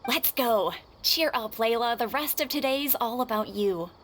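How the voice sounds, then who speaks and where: Encouraging high-voiced female classmate. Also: animated, unhurried, fairly bright tone, slight background noise. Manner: earnest, encouraging. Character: supportive, admiring young female classmate. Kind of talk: anime video game voice acting.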